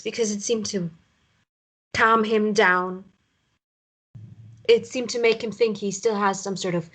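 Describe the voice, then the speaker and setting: A woman acting a weary, evasive mother in a naturalistic drama table read, speaking haltingly and appeasingly. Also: mid-range voice, close to an everyday voice. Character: weary mother downplaying her ex's abuse, evasive.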